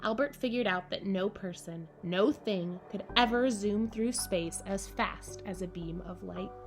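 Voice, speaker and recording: A woman reading a children's storybook aloud in an expressive, playful way, speaking directly to young listeners. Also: mid-range voice, measured pace. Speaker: camp director reading a picture book to children. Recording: music behind the voice. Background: background music.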